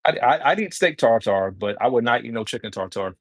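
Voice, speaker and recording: A man's voice: medium pitch, animated, brisk, no echo, studio quality. Easygoing New man cooking live. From New York City, Brooklyn. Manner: easygoing, chatty, answers viewer comments while cooking. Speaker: home cook livestreaming. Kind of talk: casual livestream cooking chat.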